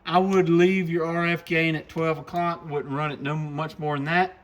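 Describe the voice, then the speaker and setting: Folksy man with a higher-pitched voice. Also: level tone, normal speed, dull audio. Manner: folksy, enthusiastic. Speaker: radio shop presenter. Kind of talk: casual product demo.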